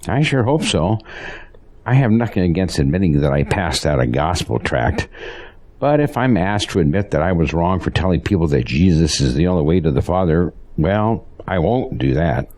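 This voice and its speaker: An actor playing a devout, steadfast pastor in an audio drama, speaking in an earnest, resolute way. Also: male voice, sits low, big pitch swings, normal speed, a little mellow. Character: devout, steadfast male pastor facing persecution, married.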